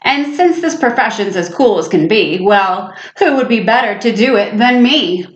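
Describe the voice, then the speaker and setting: A woman's voice: medium pitch, expressive, normal speed, close to an everyday voice. Woman voicing an eager, boastful little schoolboy, echoey. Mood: eager, excited. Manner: grandiose, declamatory, eager. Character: excitable, boastful schoolboy with big dreams. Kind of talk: storybook read-aloud. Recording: echoey room, slightly muffled sound.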